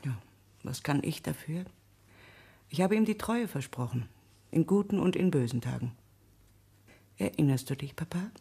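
An actress playing a middle-aged, solemn, blindly loyal wife in a radio drama, husky and often hushed. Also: female voice, low voice, expressive, moderate pace, pauses often, exaggerated voice. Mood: solemn, devoted. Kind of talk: German radio drama acting.

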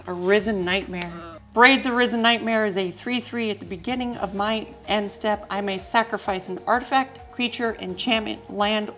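Bright-voiced young woman reading card text. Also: mid-range voice. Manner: reads card text aloud in a steady, methodical way. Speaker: player. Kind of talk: casual tabletop game chat. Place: indoor studio at a game table.

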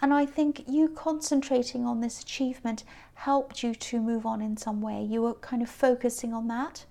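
A woman giving a tarot reading off the cuff, hesitant with many ums and restarts, her voice a little croaky. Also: fairly high pitch, fairly even intonation, normal speed, mellow. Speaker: tarot reader. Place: indoors at a tabletop card spread.

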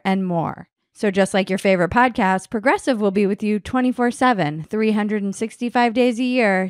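A woman's voice: mid-range voice, no echo, clean studio sound. A woman speaking as a podcast host, in interview chat and host-read sponsor spots.

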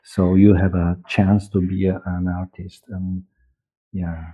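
A man with a very low voice and a Polish accent speaks on a thin, phone-like video call. His delivery is halting, with repeated words as he searches for English. The voice is husky and unpolished, like a guest explaining his work in an interview. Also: little pitch movement. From Poland. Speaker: guest, audio drama director and producer. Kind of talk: unscripted interview talk. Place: dark room, on a video call.

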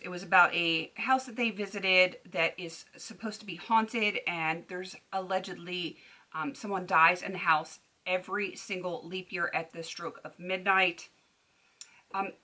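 Woman in her 30s, casual beauty vlogger, bright tone with a slightly low pitch, rambling delivery. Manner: chatty and rambling, many ums and restarts. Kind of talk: casual chat to camera. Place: bedroom at home. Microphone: camera at desk distance.

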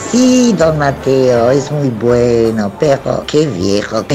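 A woman's voice: extremely low pitch, animated, extremely slow, over music, heavily acted. An actress playing a jolly, chatty elderly Mother Superior in a radio soap opera, motherly and chuckling. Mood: amused, affectionate.

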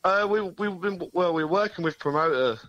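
A man, a band frontman, talking in a relaxed, laid-back way as an interview guest. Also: high voice, brisk, muffled recording. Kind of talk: casual interview chat. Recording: phone interview.

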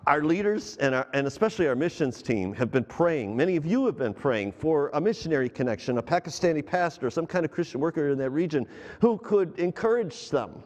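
A man's voice: on the high side, sing-song, fairly quick, darkish tone, long reverb tail. A middle-aged man preaching a sermon as pastor on a large church stage. He speaks through a headset mic, gestures as he talks, and asks the congregation questions.

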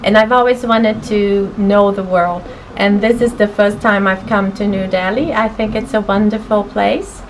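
A woman's voice: mid-range voice, fairly even intonation, moderate pace, slight background noise, rough recording. A woman from Brazil in her forties, an education agent, giving an upbeat welcome to camera in a school office. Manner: upbeat, promotional. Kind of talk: direct-to-camera introduction.

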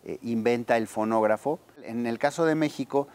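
Man in his forties, smooth clear voice, hesitant, reflective, interview explanation. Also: mid-range voice, moderate pace, darkish tone. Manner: thoughtful, hesitant, searching for words. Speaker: archive deputy director, interviewee. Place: garden outdoors. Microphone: lapel.

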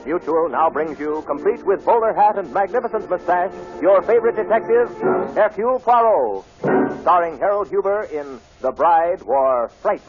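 A man's voice: high voice, expressive, normal speed. A man announcing an old-time radio program in a dramatic style. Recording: muffled old radio broadcast with music. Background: loud brassy music.

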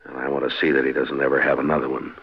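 Very deep, husky frontier marshal. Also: male voice, completely flat delivery, racing, full character voice. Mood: earnest, persuasive. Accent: American. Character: steady, authoritative frontier marshal, grown man. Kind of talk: 1950s radio western acting. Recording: muffled 1950s radio recording.

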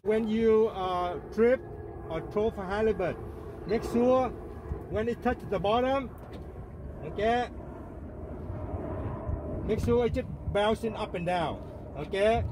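A man hosting a casual instructional fishing vlog out on a small open boat, explaining haltingly with repetition and frequent check-ins. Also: sits high, noisy background. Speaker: host of a fishing channel. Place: small open motorboat out on a bay.

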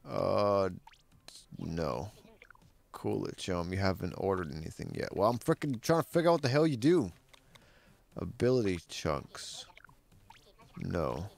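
A young man with a very deep voice casually commenting on his game, laid-back and swearing a lot. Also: animated, a little slow, vocal fry, long pauses, a little hiss or hum. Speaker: game streamer. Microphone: studio mic with bass roll-off.

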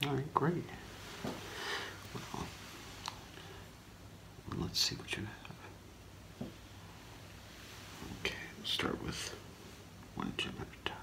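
A middle-aged man doing a whispered ASMR role play as a gemologist, speaking in a hesitant, halting, softly reassuring way. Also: medium pitch, wildly swooping pitch, gravelly, background noise. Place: small indoor room with curtain.

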